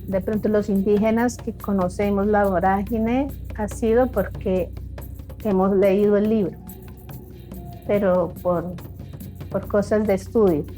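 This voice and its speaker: A woman's voice: medium pitch, mellow. Thoughtful woman speaking a second language. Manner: measured, thoughtful, pauses to find words. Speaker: interviewee. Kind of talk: reflective interview answers.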